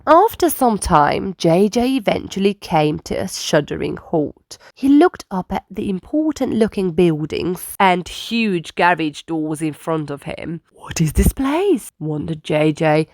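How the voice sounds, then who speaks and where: A woman narrates a children's story with expressive emphasis. Her voice sits a little low and moves through a wide, lively range, recorded close and dry. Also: measured pace, few pauses, no echo. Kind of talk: children's read-aloud narration.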